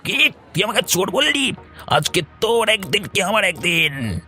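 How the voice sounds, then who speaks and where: A man's voice: high voice, sing-song, measured pace, pushed and bright, faint music behind, heavily acted. A man playing an insolent, bullying young village crook in a cartoon folk tale, with slangy, threatening talk. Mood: menacing.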